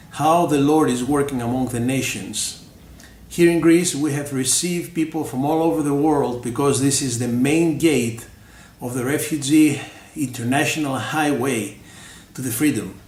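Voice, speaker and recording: A male missionary giving a measured ministry report, with emphatic stresses. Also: mid-range voice, darkish tone. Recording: echoey room.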